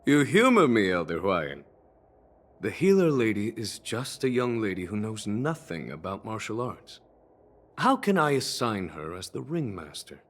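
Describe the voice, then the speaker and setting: A man voicing a polite, composed young swordsman who speaks in a measured way. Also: fairly low pitch, big pitch swings. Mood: amused.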